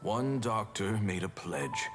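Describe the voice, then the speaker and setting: A man's voice: fairly low pitch, expressive, takes it slowly. A man voicing a solemn storyteller of ancient legend in scripted video game narration. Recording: music behind the narration.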